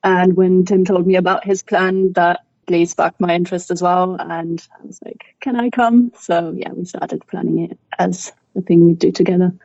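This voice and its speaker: A woman with a German accent speaks English in a measured, careful way. Her voice is low and mellow, with little rise and fall. The sound is dry and a bit muffled. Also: fairly even intonation, vocal fry, dry recording. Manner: careful, measured, some hesitations. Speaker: guest, cycle tourer. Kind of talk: casual interview talk. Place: remote call while travelling. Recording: dry, muffled lo-fi call audio.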